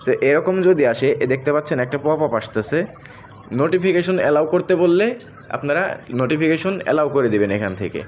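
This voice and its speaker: Fast-talking Bangladeshi man giving a tutorial. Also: on the high side, expressive, a little mellow. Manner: addresses viewers directly, repeats each step. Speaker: tech tutorial host. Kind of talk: step-by-step app tutorial narration. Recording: faint background noise.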